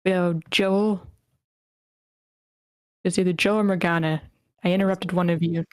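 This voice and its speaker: A woman's voice: on the low side, fairly even intonation, normal speed, no room sound. Playful woman hosting, dry close sound. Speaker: host of an online script table read. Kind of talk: casual meeting hosting.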